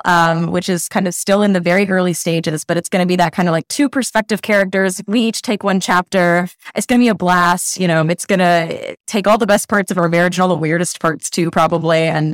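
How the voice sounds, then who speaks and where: A woman's voice: fairly low pitch, no room sound, clean studio sound. A woman author talking enthusiastically in an interview, with many fillers. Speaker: author guest. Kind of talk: casual interview chat.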